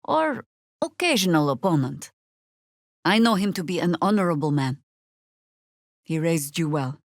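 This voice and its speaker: A woman playing a wry, battle-worn middle-aged druid in a video game, with sardonic, world-weary, deliberate phrasing. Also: low-pitched, lively intonation, no room sound, studio quality. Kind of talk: video game character acting.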